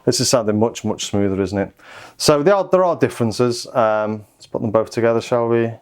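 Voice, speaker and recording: Clear-voiced man, offhand gear reviewer. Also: mid-range voice, fairly quick, faint music behind. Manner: offhand, thinking aloud. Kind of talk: casual hands-on demo chat. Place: home music studio.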